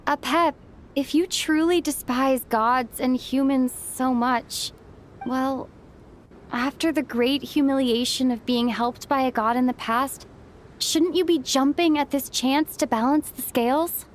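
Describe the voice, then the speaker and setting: Casting: youthful female game companion, high-pitched, reasoned persuasive delivery. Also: a little slow, slight background noise. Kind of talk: video game voice acting.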